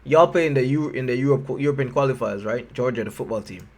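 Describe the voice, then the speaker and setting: A man's voice: mid-range voice, quick pace, a little hiss or hum. A sleep-deprived man with a Jamaican accent, chatting casually as he reacts to a video, often restarting his sentences. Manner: relaxed, chatty, trailing self-corrections. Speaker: YouTube reactor.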